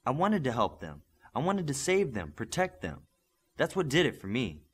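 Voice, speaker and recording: A man voicing an eager young male superhero trainee in an audiobook, earnest and excitable. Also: mid-range voice, normal speed, breathy edge, pitched above the performer's own voice. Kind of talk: audiobook character acting.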